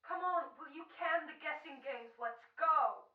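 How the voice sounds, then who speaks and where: Through a tinny, echoey line, a woman plays a commanding radio director with a high voice. The delivery is brusque and impatient, barking orders in slangy old-time style. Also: moderate pace, lightly acted. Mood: exasperated. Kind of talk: old-time American radio comedy-horror acting. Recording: distant, echoey, phone-like online call.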